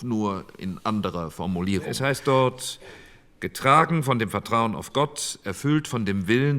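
A very deep-voiced committee chairman from post-war politics. He speaks formally and deliberately, arguing his case as in a radio-play debate. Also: fairly bright tone. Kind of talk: radio drama re-enactment of a parliamentary debate.

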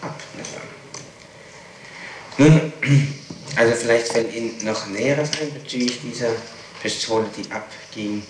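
A man questioning someone as a prosecutor, deliberate and insistent, repeating his points. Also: mid-range voice. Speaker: public prosecutor. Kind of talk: interrogation, questioning a witness. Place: echoey room. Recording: echoey, noisy 1960s tape recording.

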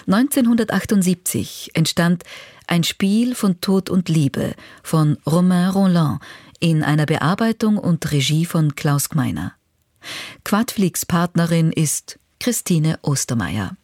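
A woman presents a radio programme from a script. Her voice is low and composed, with factual delivery. The studio sound is very dry. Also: lively intonation, takes it slowly, a little mellow, no echo. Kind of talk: scripted narration.

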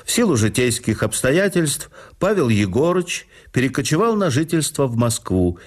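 A man reading memoirs aloud as scripted narration for a radio audiobook, measured and expressive, phrases falling at their ends. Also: mid-range voice. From Russia.

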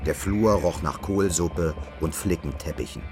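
A man's voice: low-pitched, measured pace, music in the background. A man narrating a radio drama in a scripted literary style.

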